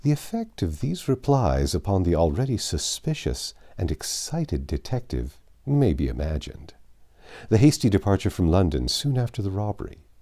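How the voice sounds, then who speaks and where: A man narrates a classic story in an expressive audiobook style. His voice is on the low side and very soft in tone, with a widely varied melody.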